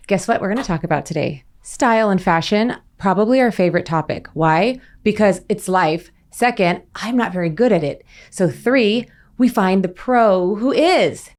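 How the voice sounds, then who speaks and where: A woman's voice: mid-range voice, animated, moderate pace, few pauses, darkish tone. A woman hosting an interview podcast, asking eager questions in a friendly, conversational way. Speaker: podcast host.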